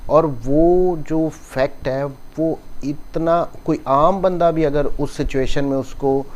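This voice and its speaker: A man's voice: a little high, fairly even intonation, slow pace, darkish tone, lo-fi sound. A man with a Pakistani accent giving an explanatory law lecture, mixing in English legal terms and carefully read passages. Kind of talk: explanatory law lecture, reading statute clauses and explaining them.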